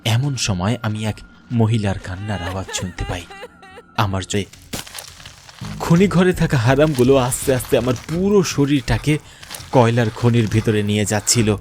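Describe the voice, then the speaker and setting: A man's voice: mid-range voice, normal speed, long pauses, a little mellow, faint music behind. A man giving a scripted first-person narration of a horror story, as a government officer looking back. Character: haunted government officer recounting his mine visit.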